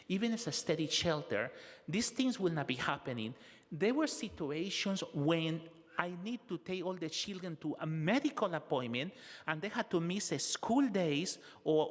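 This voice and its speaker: A man interpreting testimony in the first person, halting and filling pauses with "eh" and "huh?", at a city council hearing. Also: on the high side, long reverb tail. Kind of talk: consecutive interpretation of public testimony.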